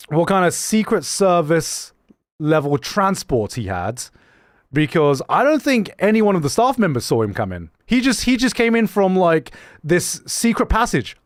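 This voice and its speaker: A young man with a mixed, slightly British-tinged accent talks to his live viewers. His voice sits a little high and swings up and down a lot. He laughs often, trips over his words and restarts them, all in a dry, close recording. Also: no room sound. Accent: mixed, slightly British-tinged English. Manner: animated, laughing often, many quick restarts. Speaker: streamer. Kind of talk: casual livestream chat, reading out viewer messages.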